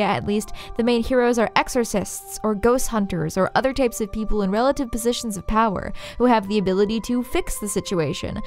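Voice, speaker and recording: A woman hosting a scripted video essay, narrating in a wry, playfully sarcastic way. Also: on the high side. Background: faint background music.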